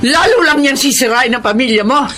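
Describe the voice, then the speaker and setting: A stern, scolding older mother, voiced by a man, in a high, swooping voice with a sharp, bright edge. She speaks in accusing bursts and hammers each demand home. It is a dramatized audio story. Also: animated, fairly quick, heavily acted. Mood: angry scolding.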